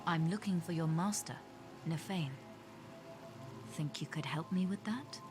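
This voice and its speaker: An actress playing a composed, resolute sorceress in a video game, speaking softly and in a measured way. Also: female voice, deep voice, over music. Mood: soothing, searching. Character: composed young sorceress adventurer, gentle and resolute. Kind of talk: dramatic video game acting.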